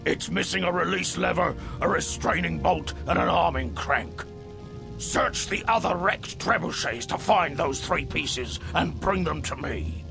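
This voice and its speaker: An actor playing a gruff, feisty soldier engineer in a fantasy video game, giving urgent instructions. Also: male voice, fairly high pitch, measured pace, music in the background. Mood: feisty, irritated. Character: gruff, crusty male siege engineer soldier.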